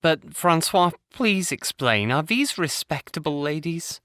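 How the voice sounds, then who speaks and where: A man's voice: a little high, expressive, measured pace, a bit bright, dry recording, clean studio sound. An actor playing a naive young provincial nobleman in an audio play, prissy, anxious and haughty. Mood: puzzled.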